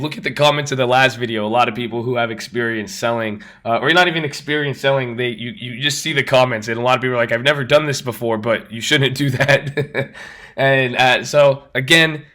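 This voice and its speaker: A man with a raspy voice, just over an illness, talking casually as host of a trading channel. He rambles and repeats himself as he walks through his screen. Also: medium pitch, pushed and bright. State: recovering from illness. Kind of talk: casual screen-share explanation.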